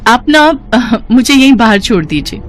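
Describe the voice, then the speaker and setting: A woman's voice: on the high side, sing-song, normal speed. A woman voicing a money-hungry young college girl in a Hindi cartoon story, whiny, argumentative and emphatic. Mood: nervous, hesitant.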